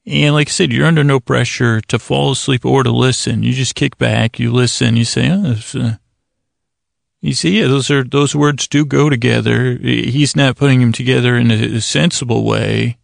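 Very gravelly, creaky man, rambling softly along. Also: medium pitch, fairly even intonation, no echo, clean studio sound. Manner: meandering, halting, repeats words and restarts sentences. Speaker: podcast host. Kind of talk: rambling bedtime monologue to lull listeners to sleep.

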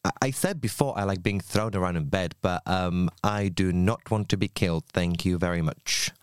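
A man's voice: low voice, moderate pace, clean tone, dry recording. A man hosting a podcast, narrating a script with a wry, self-mocking tone. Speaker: podcast host. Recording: faint music under the voice.

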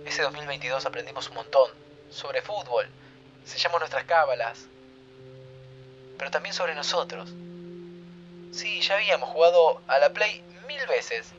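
A flustered Rioplatense time traveler. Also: male voice, a little high, lively intonation, normal speed, pauses often, fairly bright tone, lo-fi sound, soft background music. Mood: tender. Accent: Rioplatense Spanish. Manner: flustered pleading, then tender and reflective. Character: anxious, warm-hearted young man time traveler. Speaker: lead character.

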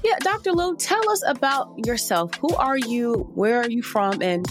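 A woman's voice: a little high, big pitch swings, moderate pace, music in the background. A woman hosting a podcast, chatting with enthusiasm and laughing often. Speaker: podcast host. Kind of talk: upbeat podcast chat.

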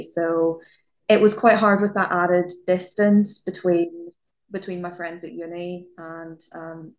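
Young Northern Irish woman, chatty. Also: lowish voice. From Northern Ireland. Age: young adult. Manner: chatty, fillers and restarts, trails off softer. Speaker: guest, music journalist. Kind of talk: casual interview chat. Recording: lo-fi dull audio.

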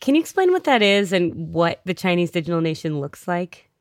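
A woman host narrating a scripted story and interviewing a guest, with a measured explanatory delivery. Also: on the low side, big pitch swings, no echo, clean studio sound.

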